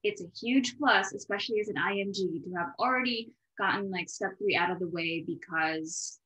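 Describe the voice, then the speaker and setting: Bright-voiced chatty woman hosting, roomy sound. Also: medium pitch, normal speed. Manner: chatty, rambling, self-interrupting. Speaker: interview series host. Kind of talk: casual conversational interview.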